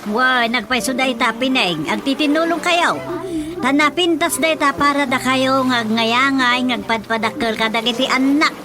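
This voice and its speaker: High-pitched bossy older village woman, played by an actress. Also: close to an everyday voice. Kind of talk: Ilocano radio drama acting. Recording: music under the dialogue.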